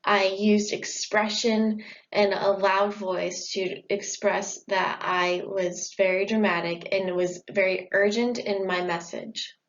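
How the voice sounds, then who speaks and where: A woman's voice: mid-range voice, level tone, slow. Young woman presenting a school report. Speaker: student presenter. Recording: dull lo-fi audio.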